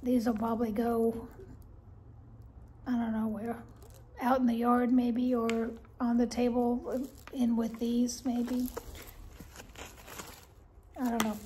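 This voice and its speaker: Slow-talking older woman, rambling haul chat. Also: fairly high pitch, level tone, slight background noise. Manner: chatty, rambling, with soft laughs and frequent ums. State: slightly congested, clearing throat. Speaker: haul vlogger. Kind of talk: casual haul show-and-tell. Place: living room at home, indoors.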